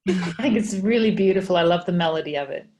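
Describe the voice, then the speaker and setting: A woman's voice: lowish voice, fast, darkish tone. A woman whose first language is English, chatting enthusiastically on a video call. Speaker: participant.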